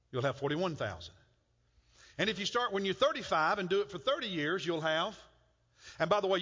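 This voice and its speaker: A man's voice: on the high side, sharp tone. A middle-aged man preaching a conversational sermon as a pastor, often repeating words as he works toward a point. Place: church sanctuary. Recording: muffled church hall with long reverb.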